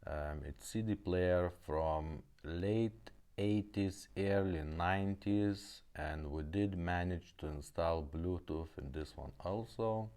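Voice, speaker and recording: A man who speaks English as a second language, giving a casual, halting step-by-step product demonstration. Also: low voice, a little mellow, clear, resonant tone. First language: not English. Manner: halting, plain step-by-step explaining. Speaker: presenter demonstrating restored car radios.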